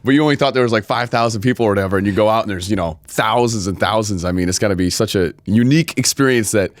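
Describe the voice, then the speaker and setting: A man's voice: fairly low pitch, no echo, clean studio sound. A man hosting an interview in a casual chat, upbeat and joking. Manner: upbeat, joking, quick back-and-forth. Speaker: interview host.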